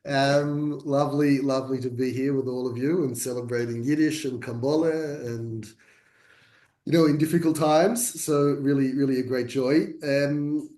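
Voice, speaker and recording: A man's voice: mid-range voice, fairly even intonation, moderate pace, mellow, roomy sound. A man, a translator speaking as a guest over a video call, self-deprecating with many restarts. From Australia. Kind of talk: conversational talk.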